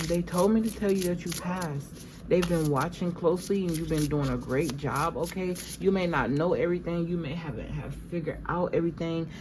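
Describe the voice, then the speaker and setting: Low-voiced stuffy woman reading tarot. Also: noisy background. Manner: conversational, meandering, addresses viewers as "y'all". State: stuffy from allergies. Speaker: tarot reader.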